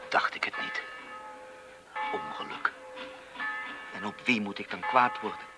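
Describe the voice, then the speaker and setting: A man's voice: on the low side, measured pace, over loud music, lightly acted. An actor playing a bitter, grieving man in a radio drama.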